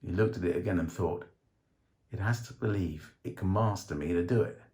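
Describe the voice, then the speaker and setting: A man's voice: low voice, a little fast, a little mellow. A man reading a short story aloud as a dramatic scripted narration.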